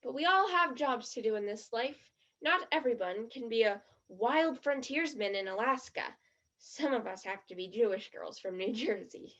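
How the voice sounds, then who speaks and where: A woman acts the part of an eager teenage girl in a stage play. Her voice sits high, and she speaks with gushing, dramatic earnestness. The sound is a little muffled and lo-fi. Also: animated, normal speed, fairly bright tone. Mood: wry. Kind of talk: one-act stage play acting.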